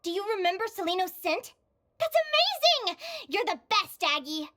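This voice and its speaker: A woman playing a determined, earnest young fairy girl in an anime-style video game, with an expressive, eager delivery. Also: extremely high pitch, moderate pace, exaggerated voice. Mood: excited. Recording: game music behind the voice.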